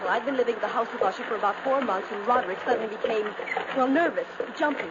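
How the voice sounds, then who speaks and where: An actress playing an anguished, fearful gentlewoman in a 1950s radio drama, earnest and imploring. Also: on the high side, racing, close to an everyday voice. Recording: muffled, noisy 1950s radio recording.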